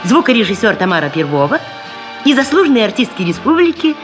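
A woman presenter with a husky voice, delivering a humorous, theatrical radio monologue with ironic, rhetorical emphasis. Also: fairly high pitch, big pitch swings, quick pace. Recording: 1970s radio recording, faint music.

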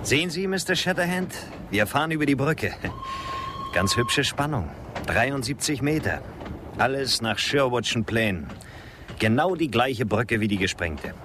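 An actor in a German radio drama playing a worldly, detached gentleman who lectures politely. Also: medium pitch, lively intonation, a little slow, background noise, lightly acted. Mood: matter-of-fact. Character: worldly, matter-of-fact gentleman champion of progress.

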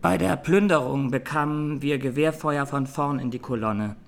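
An actor playing a haunted young Armenian defendant giving grave, deliberate testimony in a courtroom radio drama. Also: male voice, medium pitch, vocal fry, lightly acted. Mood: anguished.

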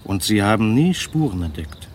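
An actor playing a grave, authoritative yet soothing family doctor in a German radio drama, measured and probing. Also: male voice, fairly low pitch, sing-song, a little hiss or hum.

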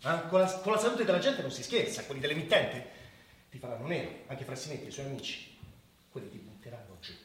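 A man's voice: mid-range voice, expressive, fast talker, distant mic, lightly acted. An actor playing an idealistic, stubborn scientist in a radio drama, speaking earnestly and insistently as he challenges others. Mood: grave warning. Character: idealistic, stubborn scientist father confronting his powerful brother. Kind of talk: naturalistic radio drama acting. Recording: very echoey room, off-mic, faint noise.

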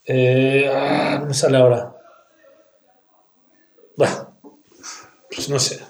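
A man's voice: medium pitch, level tone, slow, reverberant. A man from in casual chat about music, talking in long rambling arguments with slangy fillers.

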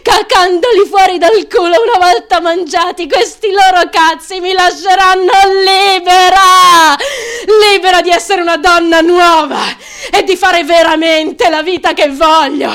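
Unstable young woman, super high voice, theatrical radio monologue, anguished and volatile. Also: steady tone, measured pace, heavily acted. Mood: seething, ferocious. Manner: volatile, swinging between hushed confiding and wailing.

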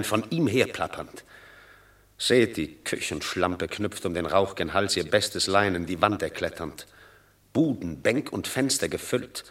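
An actor playing a scornful, envious Roman tribune in a classical radio drama, sardonic and rhetorical. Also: male voice, on the low side, fairly bright tone.

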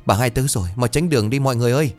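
A man voicing a middle-aged village man who talks fast and urgently. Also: mid-range voice, faint music behind, voice pushed up, close to an everyday voice. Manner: hurried, pleading.

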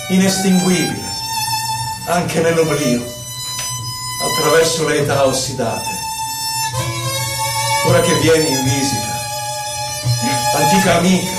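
An actor playing a nostalgic, lovelorn exiled poet in a solemn, theatrical poetry recital over violin. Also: male voice, sits high. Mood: impassioned, then tender, nostalgic. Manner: dramatic, lyrical, solemn delivery. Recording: roomy, distant voice under violin music. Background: violin music.

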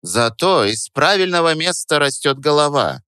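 A man voicing a snide, squeaky know-it-all rat who teases mock-grandly in an audiobook. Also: very animated, moderate pace, sharp tone, smooth, no room sound, clean studio sound. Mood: snide teasing. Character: sarcastic know-it-all male rat, teasing philosopher sidekick. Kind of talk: audiobook character voice.

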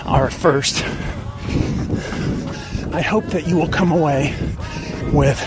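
A man in his fifties hosting a personal podcast monologue, speaking deliberately in short phrases with pauses. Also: fairly even intonation. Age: 50s. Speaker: podcast host. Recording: noisy outdoor recording with traffic rumble.

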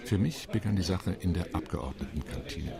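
A man's voice: sits low, animated, normal speed, gravelly, a loud crowd. An actor playing a weary, wry male Latin American diplomat in a radio play monologue, recalling his posting. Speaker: UN committee delegate.

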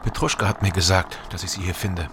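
An actor playing a guarded, probing investigator who narrates his own story in a radio crime drama, terse and low-key. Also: fairly even intonation, brisk, noisy background.

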